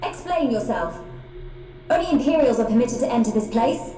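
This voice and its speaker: An actress playing a young royal heiress in a game, dignified, earnest and formal. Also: female voice, fairly high pitch, expressive, normal speed, mellow, natural voice. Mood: affronted, shaken. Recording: echoing chamber, music behind.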